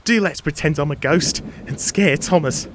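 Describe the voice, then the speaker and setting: Storybook cheeky small engine, man performing, high and spooky, drawn-out delivery, noisy backing. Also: close to an everyday voice. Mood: mischievous. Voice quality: high, wailing when playing ghost. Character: cheeky male little steam engine playing a spooky ghost.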